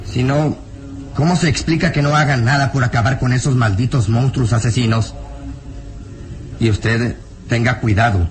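An actor playing a burly, frightened and bitter peasant villager in a melodramatic radio drama, anguished, emphatic and halting. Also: male voice, medium pitch, steady tone, a little hiss or hum, lightly acted, rough recording. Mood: bitter, fearful.

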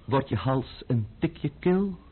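A man's voice: medium pitch, lively intonation, slightly rough, phone-quality sound. A male actor playing a cunning, menacing, mocking man of power in a theatrical radio play. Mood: coolly menacing. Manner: sardonic, theatrical, deliberate.

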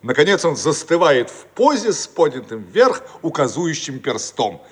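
A man's voice: on the high side, very animated, moderate pace, husky. A man narrating a radio play, reading out scripted stage directions. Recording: noisy archive radio recording.

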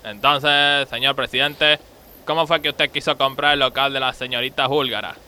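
Man playing a stern referee with a sharp, clear voice. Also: mid-range voice, steady tone, normal speed. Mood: calm mediating. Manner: authoritative, mock-formal. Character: stern, fussy male referee moderating a childish dispute. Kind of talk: comic radio play acting.

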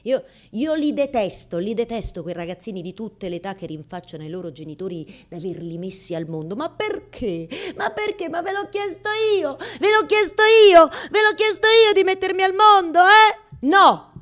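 An actress playing an anguished, embarrassed woman who confronts God in a theatrical radio monologue, halting and repeating her words insistently, blunt and exasperated. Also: sits high, a bit bright. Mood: indignant, exasperated.

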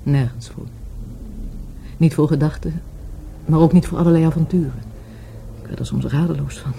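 A soft, grieving, very mellow woman. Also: low voice, steady tone, vocal fry, a little hiss or hum. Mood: wistful, earnest. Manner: hesitant, halting, softly searching for words. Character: grieving, wistful lover of a murdered market trader. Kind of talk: Dutch radio play acting.

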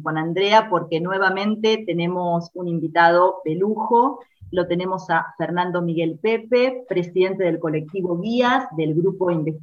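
A woman's voice: medium pitch, highs cut off. Upbeat Argentine woman hosting a radio interview. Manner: chatty and upbeat, with small restarts. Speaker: radio host.

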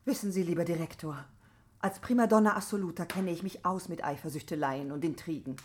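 An actress in a radio play voicing a haughty opera prima donna, grand and self-assured in manner. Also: female voice, on the low side, fairly quick, slight background noise, natural voice. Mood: suspicious. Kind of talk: German radio play acting.

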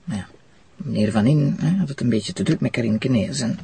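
An actor with a Flemish accent playing a sceptical, colloquial police detective sidekick in a radio drama. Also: male voice, medium pitch, moderate pace, gravelly, mellow, husky, no echo. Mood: sheepish. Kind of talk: naturalistic radio drama dialogue.

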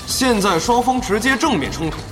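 A man's voice: high-pitched, big pitch swings, moderate pace, a bit bright, big-room sound, faint music behind. A man playing a thoughtful esports analyst in an audio drama, explaining the play in a considered way. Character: measured male esports pundit, explanatory and thoughtful. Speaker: guest analyst.

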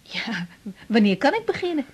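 A woman's voice: on the high side, sing-song, moderate pace, slightly rough. An actress playing an earnest young woman doctor who stammers and hesitates, in a 1980s radio drama. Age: young adult.

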